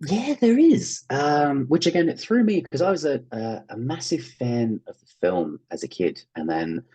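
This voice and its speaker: An Australian man who works as an actor, telling stories casually as an interview guest in an animated way. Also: mid-range voice, moderate pace, slightly rough. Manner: animated anecdote-telling, acting out quoted reactions.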